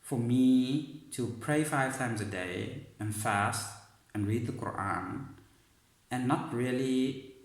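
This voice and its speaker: A man telling a personal story in a thoughtful, searching way, often pausing and restarting his sentences. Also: on the low side, fairly bright tone, roomy sound. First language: not Arabic. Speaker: vlogger sharing personal story. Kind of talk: reflective personal monologue.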